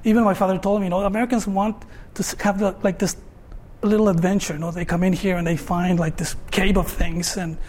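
High-voiced Mexican-accented man. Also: flat delivery, brisk, darkish tone. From Mexico, Tijuana. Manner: hesitant, filler-heavy, frequent tag "no?". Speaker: artist guest. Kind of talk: conversational talk on stage.

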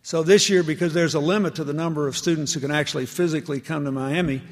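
Man, gravelly voice, public lecture, earnest storytelling, echoey large hall. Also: a little high, steady tone, quick pace. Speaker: public speaker addressing university students.

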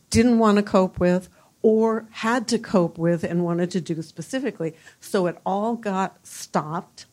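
A woman's voice: mid-range voice, a little mellow. An older woman answering on a university panel, speaking in a reflective way with many fillers. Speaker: panelist, former university provost.

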